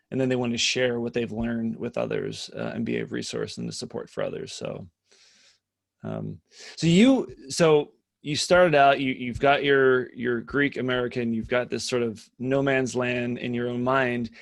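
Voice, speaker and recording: A man's voice: medium pitch, gravelly. A man hosting a webinar interview, enthusiastic and repeating himself as he searches for words. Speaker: webinar host. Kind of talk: conversational interview.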